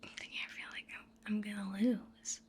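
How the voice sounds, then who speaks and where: A woman with a breathy, whispery voice, improvising an ASMR roleplay as casual, teasing banter. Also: medium pitch, steady tone, normal speed. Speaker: ASMR roleplay performer playing sisters.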